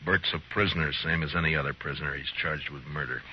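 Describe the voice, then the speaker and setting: An American actor playing a steady, unbending frontier lawman in an old radio western, terse and authoritative, on a vintage recording. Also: rumbling low, quick pace, dull audio, slight background noise. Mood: firm, calm. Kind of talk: old-time radio drama acting.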